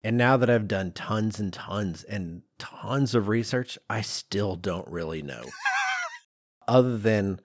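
A middle-aged man co-hosting a podcast in a conversational, animated and emphatic way, with some self-deprecation. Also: lowish voice, slow, no echo, clean studio sound. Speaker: podcast co-host.

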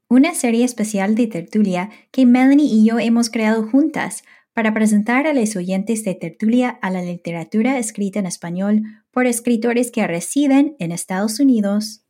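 A woman's voice: fairly high pitch, normal speed, few pauses, mellow. A woman hosting a podcast, giving a friendly scripted introduction. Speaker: podcast host.

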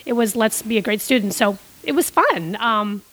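Middle-aged woman raised in Costa Rica, podcast guest, chatty and rambling, a touch high-pitched. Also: expressive, moderate pace, fairly bright tone, no echo, low-quality recording. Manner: rambling, many restarts and fillers. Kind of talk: casual interview storytelling.

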